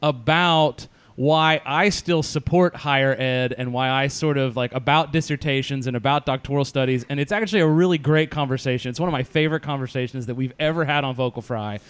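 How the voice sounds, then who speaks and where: A man hosting a podcast, chatting casually with quick asides and plugs. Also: mid-range voice, clean tone. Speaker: podcast host.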